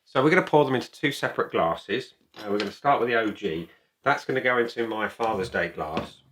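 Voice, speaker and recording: A man's voice: fairly low pitch, brisk, fairly bright tone, slight background noise. A man talking casually to camera as a beer reviewer, chatty, opinionated and emphatic. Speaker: beer reviewer and video host.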